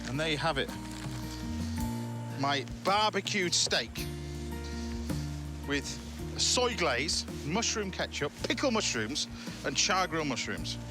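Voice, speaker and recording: English male TV chef-host, chatty and jokey, slightly high voice, music bed under. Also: very animated, takes it slowly, pauses often. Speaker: TV cookery show host. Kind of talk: presenting a cooking show and chatting with a guest. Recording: music playing behind.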